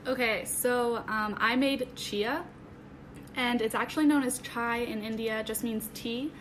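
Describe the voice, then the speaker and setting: A woman's voice: fairly high pitch, steady tone, a little slow, fairly bright tone, low-quality recording. Hesitant teenage girl podcast guest. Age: teens. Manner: friendly, hesitant, many ums. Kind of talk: casual chat. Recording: clear background noise.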